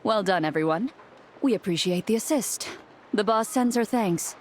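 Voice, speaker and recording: Actress as a brisk, medium-pitched woman lieutenant. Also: animated, a little hiss or hum, close to an everyday voice. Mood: grateful, brisk. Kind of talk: video game character dialogue.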